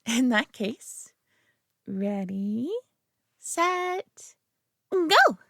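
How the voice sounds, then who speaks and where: A woman playing a prideful, possessive alien being in a roleplay audio drama, talking down to the listener with coaxing, deliberate care and dropping into whispers. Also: a little high, big pitch swings, creaky, pushed and bright, no echo, studio quality, full character voice. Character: prideful, possessive female superior alien being, condescendingly caring.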